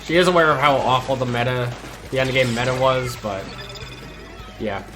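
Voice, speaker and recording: A man's voice: mid-range voice, moderate pace, over music. A man hosting a gaming channel, chatting casually over gameplay while stuffy from a cold, laid-back and hesitant with lots of ums and restarts.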